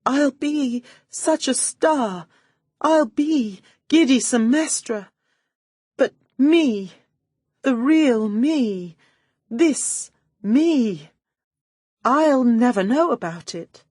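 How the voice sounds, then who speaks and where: Lady doing a scheming british woman detective acting sweet n helpless, high drawn-out coaxing voice, dry sound. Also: lively intonation, warm tone, no room sound, heavily acted. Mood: mock tearful. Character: sly woman detective sweetly flattering, playing helpless starlet.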